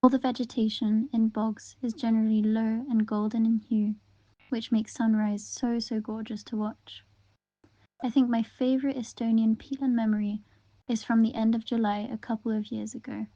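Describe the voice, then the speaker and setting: A woman reads first-person interview answers in a gentle, unhurried voice. Her tone is soft and mellow, and her pitch sits fairly high but barely moves. The recording sounds dull and lo-fi. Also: little pitch movement, no echo.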